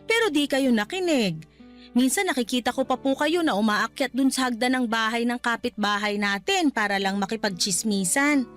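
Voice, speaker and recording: A woman's voice: a little high, animated, moderate pace, a bit bright, faint music behind. A woman playing a caring, cheeky middle-aged daughter who nags respectfully in a Tagalog radio drama. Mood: exasperated. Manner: respectful but nagging.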